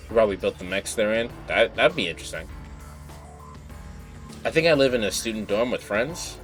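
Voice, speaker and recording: A man's voice: lowish voice, animated, normal speed. A man doing casual live stream commentary while reading game text aloud, with offhand asides. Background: game music.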